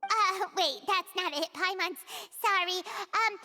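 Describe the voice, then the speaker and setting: A woman's voice: a little slow, sharp tone, full character voice. A woman voicing a tiny, chatty female floating companion in a video game who talks about herself in the third person and says whatever comes to mind. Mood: flustered. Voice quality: high and squeaky. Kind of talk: video game cutscene dialogue. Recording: reverby game audio, faint music.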